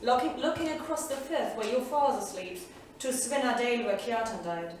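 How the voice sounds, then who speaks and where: A woman's voice: fairly high pitch, lightly acted. Woman acting a solemn saga heroine, very echoey. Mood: regretful. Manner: solemn, measured, poetic. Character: sorrowful, proud old saga heroine and solemn storyteller. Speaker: actor. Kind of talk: staged reading of poetic drama. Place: small theatre studio. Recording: distant, echoey theatre recording, faint noise.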